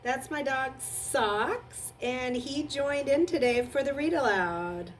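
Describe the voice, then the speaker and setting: A middle-aged woman, a teacher, reading aloud and speaking to her students in an expressive storytelling manner. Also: high-pitched, slow pace, slight background noise. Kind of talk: expressive narration of a children's novel and chat to students.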